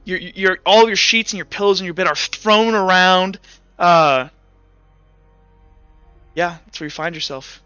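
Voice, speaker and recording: A man's voice: sits high, steady tone, measured pace, pauses often, sharp tone, clean tone, no echo. A young man as game master, narrating the scene in second person with hesitant fillers and restarts. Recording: slightly muffled, with faint music.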